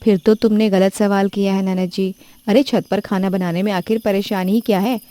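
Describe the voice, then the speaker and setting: A woman's voice: mid-range voice, steady tone, normal speed, mellow, lightly acted. A woman voicing a stubborn, proud young daughter-in-law from a village in a cartoon story, defiant and touchy. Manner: defiant, indignant.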